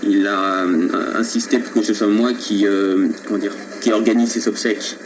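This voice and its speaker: Man, prank caller, fairly low pitch. Deadpan, hesitant, sincere-sounding delivery over a poor, noisy telephone recording. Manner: hesitant, halting, feigned seriousness. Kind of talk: prank phone call, deadpan earnest. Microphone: telephone line.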